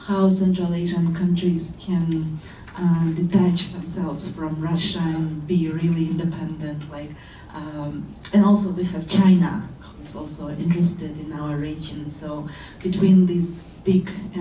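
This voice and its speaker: A woman's voice: sits low, mellow, slight background noise. A woman from Kyrgyzstan, a visiting scholar, asking a question from the floor, with many hesitations and fillers. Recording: echoey room from far off.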